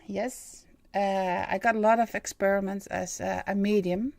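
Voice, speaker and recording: A woman in her fifties with a Dutch accent, hosting a livestream in halting English and pausing often to search for words. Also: mid-range voice, fairly even intonation, vocal fry, a little mellow, dry recording. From Netherlands. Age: 50s. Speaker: livestream host and medium. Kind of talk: hesitant livestream monologue.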